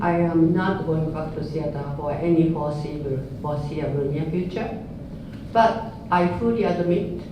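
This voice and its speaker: Older woman, Japanese accent, earnest and unhurried. Distant, heavy reverb, noisy. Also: fairly low pitch. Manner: earnest, unhurried, frequent laughs, non-native phrasing. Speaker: dance artist giving a talk. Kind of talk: informal public talk. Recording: echoey hall, speaker off-mic, audible noise.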